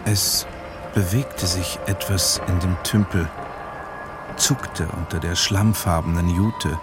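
Haunted literary narration in a war radio play. The voice is deep and very unhurried, sombre, with loud music behind it. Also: male voice, steady tone. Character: sombre, haunted narrator of grim wartime sights.

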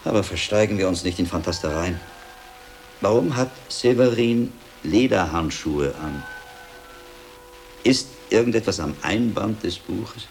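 Deep voiced medieval monk sleuth, thinks out loud, gets exasperated, radio play w music behind. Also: male voice, expressive. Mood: pondering, brisk. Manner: deliberate reasoning aloud, given to exasperated outbursts. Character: sharp-minded medieval monk scholar, impatient master and investigator. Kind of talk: German radio drama acting.

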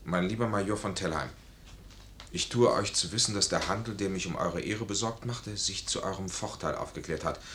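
An actor playing a proud, ardent army major in a 1950s German radio play, with earnest, formal stage diction. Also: male voice, sits low, moderate pace, a bit bright. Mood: measured, reading. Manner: earnest, theatrical, formal classical phrasing. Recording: noisy 1950s radio recording.

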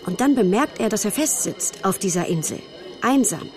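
An actress playing a thoughtful woman who comments analytically in a measured radio drama monologue. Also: mid-range voice, expressive, over music, natural voice. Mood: reflective.

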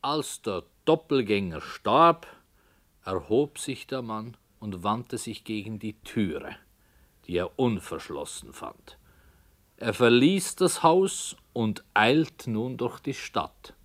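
An actor playing an author who calmly tells his story in a measured, deliberate way. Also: on the low side, full character voice. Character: calm, unyielding male radio play author. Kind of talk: radio play acting.

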